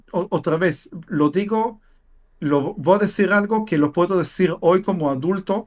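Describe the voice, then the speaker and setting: A man from Israel whose first language is Hebrew, giving a personal testimony in an interview, speaking haltingly with many fillers and repeated words. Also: a little high. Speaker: interview guest.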